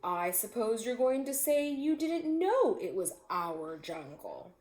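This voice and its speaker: A woman's voice: on the high side, sing-song, slow, a little mellow. A woman voicing hungry, menacing talking tigers in a children's storybook, smug, taunting and mock-polite. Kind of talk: children's storybook read-aloud. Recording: echoey room with faint noise.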